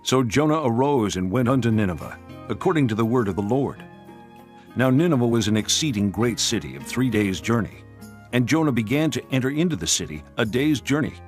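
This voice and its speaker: A man narrates scripture in a solemn, scripted style. His voice sits in the middle range, with soft music behind it. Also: mid-range voice, big pitch swings, a little fast.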